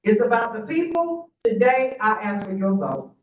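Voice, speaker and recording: A woman's voice: on the high side, lively intonation, moderate pace, slight background noise. Confident middle-aged woman rallying a room. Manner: confident, rallying, banters with the audience. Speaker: county commissioner. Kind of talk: campaign speech at a forum. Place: community meeting room. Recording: echoey, distant and dull room recording.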